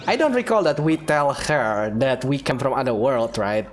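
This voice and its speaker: A man's voice: mid-range voice, lively intonation, normal speed, a little hiss or hum. A man hosting a gaming video, giving casual gameplay commentary in a chatty, informal way.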